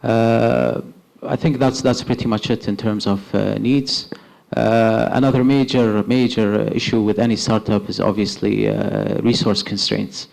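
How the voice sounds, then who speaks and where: A young adult Kuwaiti man with a Kuwaiti accent answers questions at a startup event, halting with many filler sounds. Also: fairly low pitch, flat delivery, creaky, darkish tone. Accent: Kuwaiti English. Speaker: startup founder on stage. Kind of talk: live panel answers. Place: event hall with audience.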